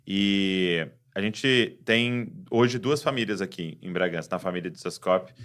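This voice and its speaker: A Brazilian man hosting a podcast, talking in a relaxed, affirming, conversational way. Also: low voice, measured pace. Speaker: podcast host. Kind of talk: casual interview talk.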